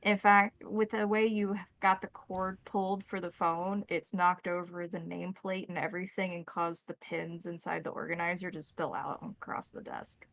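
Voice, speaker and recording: Creaky-voiced woman game master. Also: fairly low pitch, little pitch movement, normal speed, few pauses, fairly bright tone, dry recording. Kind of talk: improvised narration of a tabletop roleplaying game.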